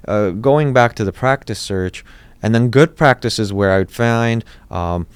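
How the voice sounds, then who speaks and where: Deep-voiced man, smooth and dry. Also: unhurried, dry recording. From born in Iraq, raised in Los Angeles. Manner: rambling, many restarts and fillers. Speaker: dentist, podcast guest. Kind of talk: casual interview talk.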